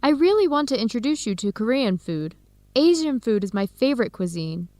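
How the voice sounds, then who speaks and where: A woman's voice: a little high, very animated, brisk, a little mellow, no room sound. A woman with an American accent playing a friendly young researcher in an English-learning radio drama. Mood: friendly, keen. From United States. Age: young adult.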